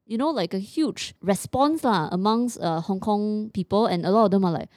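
A woman's voice: medium pitch, moderate pace, darkish tone, no room sound, clean studio sound. A Singaporean woman chatting casually as a podcast co-host, colloquial, often checking in with "right" and "you know". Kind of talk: casual chat.

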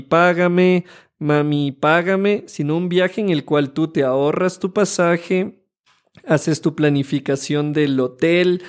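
A man's voice: on the high side, clean tone. A man in his thirties from Ecuador with an Ecuadorian accent, co-hosting a casual podcast in a studio and acting out the people he quotes. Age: 30s. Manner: animated, acts out quoted people, slows to stress points. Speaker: podcast co-host. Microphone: studio microphone.

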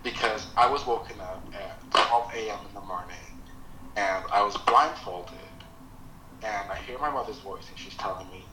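A man from Nigeria whose first language is Yoruba, telling his life story slowly and reflectively as a podcast guest. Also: fairly low pitch, sharp tone, roomy sound, slight background noise, rough recording. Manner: slow, reflective, hesitant with pauses. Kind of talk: personal storytelling.

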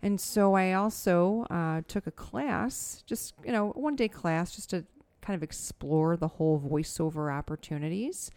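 A middle-aged woman with a soothing voice, co-hosting a casual podcast at home, easygoing and quick to laugh. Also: lowish voice, a little mellow, no echo. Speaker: podcast co-host. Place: home dining room.

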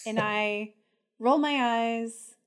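A woman's voice: on the high side, extremely slow, clean tone. A woman co-hosting a podcast, chatting playfully with frequent laughs and recapping an episode in a teasing tone. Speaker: podcast co-host. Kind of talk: casual chat and episode recap.

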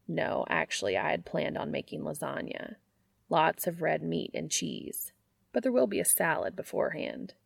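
Woman as courteous reserved man, audiobook character, low creaky voice, measured, dryly humorous. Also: big pitch swings, dry recording, clean studio sound, heavily acted. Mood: amused. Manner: polite, measured, understated.

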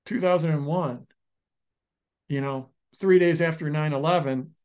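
A man with a slightly raised voice co-hosts a casual podcast conversation. He tells stories animatedly, speeding up and slowing down and restarting sentences as he goes. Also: fairly high pitch, clean tone. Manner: animated storyteller, restarts sentences, shifts pace often. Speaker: podcast co-host, online business founder.

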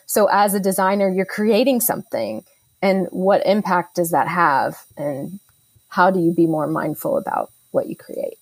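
A woman's voice: on the low side, moderate pace, gravelly, breathy edge, no room sound, low-quality recording. A woman answering an interview question in an upbeat, earnest way, with a few fillers. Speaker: conference organizer, guest.

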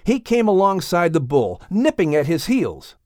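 Animated male storybook narrator. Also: high voice, moderate pace, dry recording, clean studio sound. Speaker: audiobook narrator. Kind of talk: expressive scripted children's story narration.

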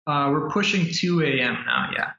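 A man's voice: fairly high pitch, lively intonation, normal speed, bright, forceful tone, roomy sound, dull audio. An American man, a guest entrepreneur, chatting casually and hesitantly with many fillers and restarts over a remote call. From United States. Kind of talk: casual interview chat. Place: apartment in Manila.

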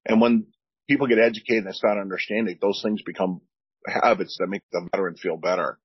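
A veteran man with a deep, husky voice talks earnestly on a podcast and often repeats himself while he searches for words. The sound is dry and a little dull. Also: fairly even intonation, brisk, no echo. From United States. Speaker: veteran guest. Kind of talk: candid podcast talk.

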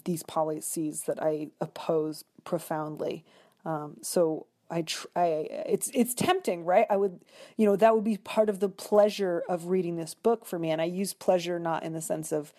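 A woman's voice: deep voice, dark tone. A woman speaking as an interview guest in a thoughtful discussion, often hesitating and reworking long sentences as she goes. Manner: reflective, hesitant, self-correcting in long sentences. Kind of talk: conversational interview, literary discussion.

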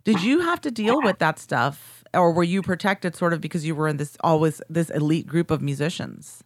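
Halting woman interviewer, dry sound. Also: low voice, expressive, dry recording. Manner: halting, many restarts. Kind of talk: conversational interview questions.

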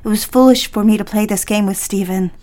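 A young woman, as an actress plays her, speaks in an audio drama with a mid-pitched voice. She is soft and hesitant, halting over her words in a nervous way. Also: faint music behind, close to an everyday voice. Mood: anxious.

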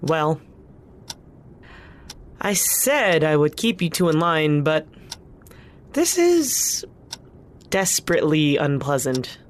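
Audio drama role: exasperated, deadpan woman minder, slightly low voice, slow delivery, dry humor. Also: heavily acted. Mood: dry exasperation.

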